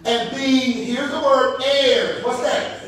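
High-voiced preacher in his fifties, echoey hall. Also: fairly even intonation, slow pace, a bit bright. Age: 50s. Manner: preaching with call-and-response and rising emphasis. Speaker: pastor. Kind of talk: sermon. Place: large church hall, indoors. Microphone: far camera. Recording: echoey hall, far from mic, congregation responding. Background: congregation calling out.

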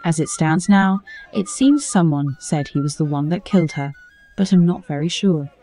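A woman's voice: sits low, expressive, normal speed, warm tone. A woman narrating a scripted folktale as a storyteller. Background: music under the voice.

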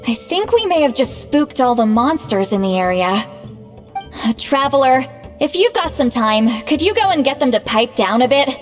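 A woman's voice: sits high, big pitch swings, moderate pace, faint music behind. A proud young shaman, reverberant. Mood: concerned, businesslike. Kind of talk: scripted video game dialogue.

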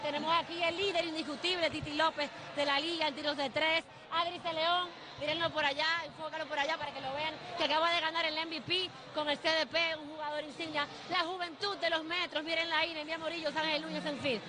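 A woman's voice: high voice, little pitch movement, quick pace, hardly any pauses. A young woman from Santiago with a Dominican accent, speaking fluently and upbeat in a live interview on an outdoor court. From Santiago, Dominican Republic. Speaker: sports journalist and team spokesperson. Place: outdoor neighborhood basketball court. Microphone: handheld held by interviewer. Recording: crowd and noise outdoors.

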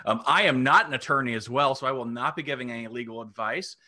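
Halting man in his forties, bright voice. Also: medium pitch. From New York state. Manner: halting with many fillers. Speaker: program director. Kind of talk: panel self-introduction. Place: home room. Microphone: webcam on video call.

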